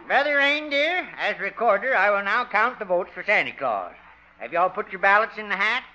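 An actor playing a jovial, teasing lodge recorder with playful mock formality in a 1950s radio comedy. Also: male voice, sits high, lively intonation, brisk, highs cut off, heavy background noise. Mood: officious.

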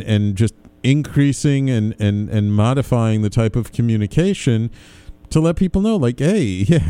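A man's voice: mid-range voice, warm tone, smooth, no echo. A man hosting a radio interview in a casual, conversational way, feeling his way through loosely phrased questions. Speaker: radio show host, interviewer.

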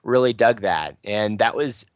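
Man, low-ish loud voice, casual rambling talk, dry close recording. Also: a little slow, no echo. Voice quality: fairly loud voice. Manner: loose, chatty, many restarts and fillers. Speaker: local Ruby user group organizer. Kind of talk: casual podcast panel talk.

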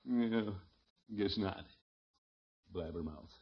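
A man's voice: on the low side, extremely slow, husky, exaggerated voice. A man playing a pompous, grumbling middle-aged uncle in an American accent, with blustery, muttering delivery in 1950s radio comedy style. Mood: sheepish grumbling. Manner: blustery, muttering, hemming and hawing. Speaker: comic lead actor in radio sitcom. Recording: muffled vintage 1950s radio recording.

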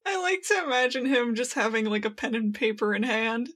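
High-pitched, giggly woman. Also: brisk. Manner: giggly, laughs while talking; acts out quoted lines excitedly. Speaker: podcast co-host. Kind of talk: casual fan podcast recap.

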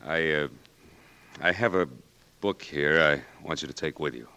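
An actor playing a patient military doctor who steadily reassures a blinded patient, in a 1940s radio drama. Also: male voice, a bass voice, measured pace, bright, forceful tone, clear, resonant tone, heavily acted, poor audio. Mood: reassuring. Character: calm, patient army eye doctor, firm but kind.

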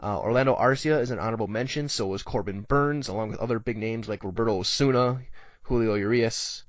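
A man's voice: on the low side, brisk, no room sound. American guy hosting a sports podcast, chatty news commentary, dry muffled recording.

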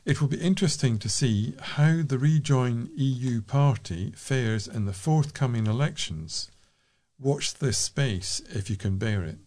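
A man reads readers' letters aloud for a local talking newspaper, with expressive emphasis and the occasional stumble. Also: medium pitch, measured pace.

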